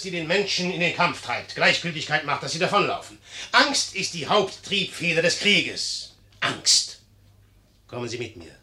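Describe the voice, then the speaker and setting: A proud young general in a 1950s radio drama. His voice is bright and forceful and swings widely from hushed irony to emphatic declamation. He sounds bold, mocking and cynical. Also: a little high, exaggerated voice. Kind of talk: 1950s German radio play acting.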